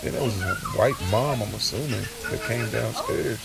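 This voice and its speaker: A man playing a streetwise young man just out of jail, telling his story conversationally in casual slang, with a gravelly voice, in an audio drama. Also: mid-range voice, huge pitch swings, normal speed, recorded from a distance, very noisy. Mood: uneasy. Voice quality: gravelly, creaky.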